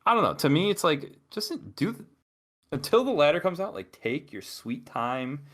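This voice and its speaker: A man's voice: a little high, big pitch swings, normal speed, fairly bright tone. A man co-hosting a gaming podcast, talking in a casual, rambling way with many restarts. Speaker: podcast co-host.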